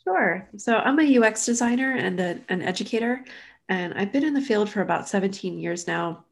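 A woman's voice: mid-range voice, lively intonation, brisk, creaky. A woman in her thirties, a design educator, chatting as a podcast guest, a little nasal from allergies. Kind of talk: casual interview.

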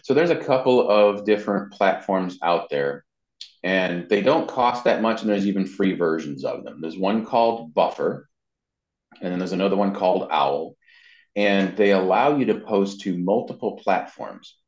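A man with a low-pitched voice talks as an interview guest, explaining things in an informal, conversational way. The recording is dull and lo-fi, with a strong roomy echo around his words. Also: moderate pace, darkish tone. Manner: informal, explanatory, often checks in with "you know". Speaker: interview guest, marketing and web consultant. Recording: muffled lo-fi sound, roomy echo.

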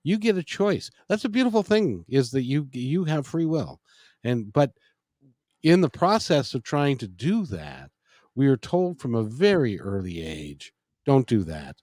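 A man hosting an interview, talking in an animated, joking way with lots of fillers. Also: medium pitch, unhurried, dry recording, clean studio sound. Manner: animated, jokey, with many fillers. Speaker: host. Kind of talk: interview conversation.

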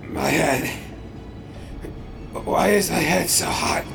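Guy doing a nervous stuttery pirate, gravelly, music under. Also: a little high, slow, pitched above the performer's own voice, exaggerated voice. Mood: panicked. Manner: anxious, stammering. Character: panicked, wounded male pirate captive.

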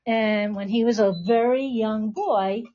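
High-voiced woman podcast host, lo-fi sound. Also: measured pace, mellow. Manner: encouraging, reassuring prompts. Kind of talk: live podcast hosting, addressing a class. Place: classroom with about twenty people.

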